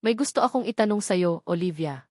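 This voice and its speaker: A synthetic woman's voice puts on a stern young male boss in a storybook reading. He speaks low, calm and controlled, with a serious edge. The sound is dry and close. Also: fairly quick, no echo.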